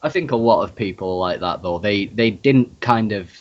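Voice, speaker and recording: A man's voice: lowish voice, normal speed. A man hosting a podcast, asking questions in a friendly, conversational way. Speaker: podcast host, interviewer. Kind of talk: casual interview. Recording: lo-fi dull sound.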